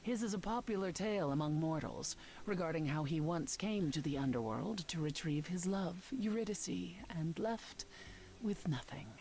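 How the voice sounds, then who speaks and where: A proud exiled queen of the dead in a dramatic video game. Her voice sits low and moves widely in pitch. She speaks in a measured, dry and imperious way. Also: lively intonation, darkish tone, slight background noise, poor audio. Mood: wry, bitter. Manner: measured, haughty, dismissive.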